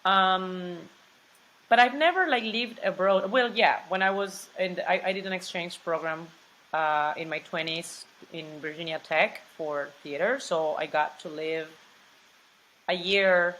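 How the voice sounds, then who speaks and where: A woman speaking English with a Mexican accent, her voice a little lower than most. She talks freely and with energy in a casual interview and circles back over her own words. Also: unhurried, a little hiss or hum, rough recording. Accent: Mexican Spanish. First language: Spanish. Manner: animated and talkative, restarts and repeats words, fillers like "like". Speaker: actress, interview guest.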